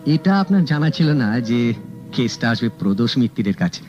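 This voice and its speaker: An actor playing a sharp, confident private detective who calmly and cuttingly accuses a suspect. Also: male voice, medium pitch, moderate pace, lightly acted. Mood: accusing. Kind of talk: 1970s radio drama acting. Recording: dull old radio recording, faint music.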